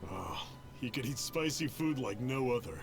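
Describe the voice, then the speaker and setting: A man voicing a reserved, wistful young man and loyal old friend in a video game, speaking in a measured, plain way. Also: mid-range voice, animated, gravelly, music in the background.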